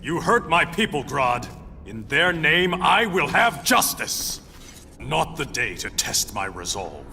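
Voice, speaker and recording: A man's voice: a little high, very animated, takes it slowly, gravelly, bright, forceful tone, a little hiss or hum, exaggerated voice. An actor playing a proud, stern warrior king of an undersea realm in a video game, with regal, resolute delivery. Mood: stern defiance. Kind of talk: video game cutscene acting.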